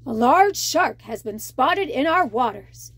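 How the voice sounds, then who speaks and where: Stern merman king and protective father, played by a woman, commanding and slow, for a children's storybook. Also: sits high, lively intonation, fairly bright tone, breathy edge, full character voice. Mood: stern, warning. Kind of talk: children's storybook read-aloud.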